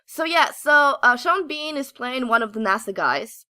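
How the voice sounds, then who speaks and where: A woman co-hosting a casual geeky podcast, telling stories in an excitable, giggly, gushing way. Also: high-pitched, normal speed, no echo. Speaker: podcast co-host. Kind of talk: casual geeky chat, animated storytelling.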